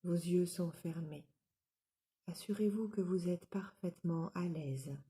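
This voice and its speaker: A woman leading a guided yoga nidra relaxation as an instructor, giving calm, soothing, measured instructions. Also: on the low side.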